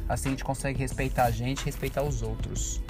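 A man's voice: medium pitch, steady tone, fast. A middle-aged man with a Brazilian Portuguese accent talks through his thoughts in a casual podcast monologue, friendly and conversational, with lots of fillers and restarts. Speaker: podcast host and Portuguese teacher. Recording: music playing clearly in the background.

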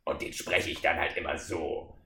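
A man voicing an evil orc overlord for a fantasy audio play, menacing like a dark emperor, with a hoarse rasp. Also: very deep voice, steady tone, moderate pace, echoey room, a little hiss or hum, voice pushed down. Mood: sinister.

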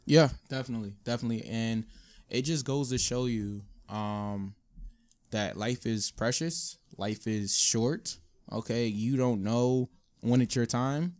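A man co-hosting a casual podcast, talking informally with slang in a subdued, low-energy way. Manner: informal urban slang, frequent "you know what I'm saying". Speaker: podcast co-host. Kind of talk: casual conversational podcast talk.

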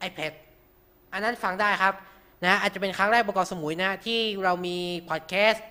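A man's voice: high voice, normal speed, bright, forceful tone, clear, resonant tone. A man hosting an informal tutorial, talking through each step with frequent polite particles. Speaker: radio show host.

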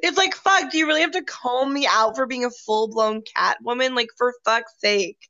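A bright, fairly high-voiced woman in her late twenties, playful and defensive, cursing freely. Her voice comes through a dull, lo-fi line. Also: normal speed. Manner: playful, defensive, swears a lot. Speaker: co-host. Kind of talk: casual chat.

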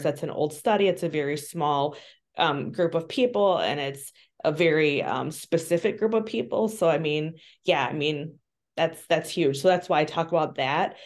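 Low-voiced woman speaking frankly. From Colombia. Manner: earnest, frank, with frequent ums and restarts. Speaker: interview guest, social worker. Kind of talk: candid interview talk.

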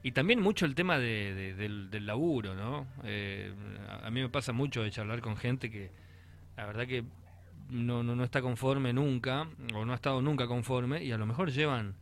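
An Argentine man of average pitch hosts a relaxed conversation. He speaks casually and hesitantly, often doubling words while he finds his point. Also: moderate pace, a little hiss or hum. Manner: hesitant, repeats words as he goes. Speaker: interviewer, host. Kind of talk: casual chat.

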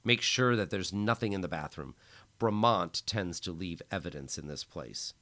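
A man's voice: low voice, animated, moderate pace, bright, forceful tone, exaggerated voice. A man voicing a cold, commanding thug who gives orders. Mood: menacing. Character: cold menacing male thug.

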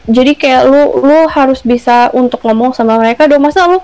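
A young woman with a Jakarta accent chats casually, filling her talk with little hesitations and English phrases. Her voice sits a bit high, and the sound is dry and close. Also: brisk, a little mellow, smooth, no echo. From Jakarta, Indonesia. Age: young adult. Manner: chatty, mixes English phrases, many fillers. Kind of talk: casual chat.

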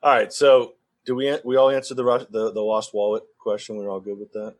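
A man's voice: fairly low pitch, monotone, a little fast. A man co-hosting a podcast with casual, joking banter among friends. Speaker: podcast co-host.

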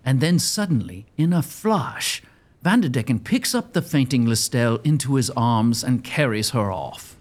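A man narrating a scripted audio drama with suspenseful, deliberate emphasis. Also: medium pitch, lively intonation.

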